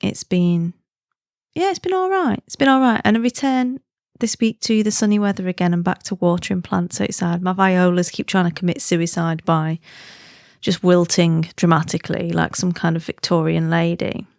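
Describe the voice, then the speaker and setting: A woman's voice: fairly low pitch, normal speed, vocal fry, a little mellow, no echo, studio quality. A woman from the UK hosting her own podcast, chatting in a friendly, easygoing way and laughing easily. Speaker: podcast host. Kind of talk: casual chatty podcast monologue.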